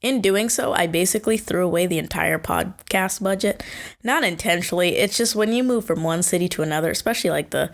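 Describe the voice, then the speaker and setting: A woman's voice: fairly low pitch, a little fast. A young woman hosting her first solo podcast, chatting casually and rambling in circles with frequent self-corrections. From Washington State, USA. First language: born in Kenya. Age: young adult. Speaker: podcast host.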